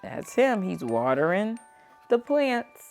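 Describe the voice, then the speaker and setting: A woman's voice: medium pitch, big pitch swings, slow pace, darkish tone. A woman reading a children's book aloud as host, using simple phrasing and speaking to a young child between passages. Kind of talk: read-aloud storytelling with simple asides to a child. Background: background music.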